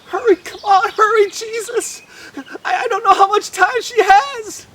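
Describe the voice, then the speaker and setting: A woman's voice: high voice, moderate pace, noisy background, natural voice. A woman voicing a desperate father who leads a synagogue, stammering and urgent, in a children's Bible story. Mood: pleading. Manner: stammering, urgent, rising to loud pleading. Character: desperate middle-aged father, synagogue leader, pleading.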